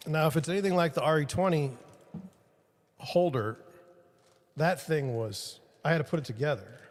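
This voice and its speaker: A man's voice: on the high side, moderate pace. Self-deprecating man in an echoey room. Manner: self-deprecating, rambling, talks to viewers. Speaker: podcast host. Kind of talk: casual self-talk while fixing gear on a livestream. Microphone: studio mic with changing levels.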